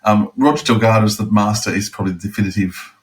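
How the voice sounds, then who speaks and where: A man chatting casually as a podcast co-host, thinking aloud in a halting way. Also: fairly low pitch. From outside the UK.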